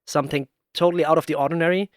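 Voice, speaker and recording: A man hosting a casual interview, speaking in a halting way as he searches for words. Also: on the high side, fairly even intonation, dry recording, studio quality. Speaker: podcast host, interviewer, works in sound.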